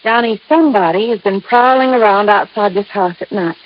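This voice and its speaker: A woman's voice: medium pitch, a little fast, close to an everyday voice. An American actress playing an anxious, coy, earnest young woman client in a 1950s radio drama. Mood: anxious, urgent. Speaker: actress in a radio detective series. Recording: muffled old radio recording.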